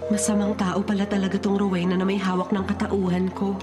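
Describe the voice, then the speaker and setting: A woman's voice: mid-range voice, level tone, brisk, over loud music, close to an everyday voice. An actress with a Filipino accent playing a wronged, sly ghost woman in a radio drama. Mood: secretive, determined. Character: wronged dead woman haunting her impostor, sly, insistent.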